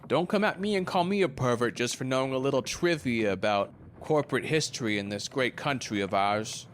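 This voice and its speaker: A man's voice: mid-range voice, lively intonation, brisk, lightly acted. A man acting in a conversational stage drama, playing a glib, crude, wounded ex-lover who rambles and needles. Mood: teasing, mock-earnest. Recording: faint background noise.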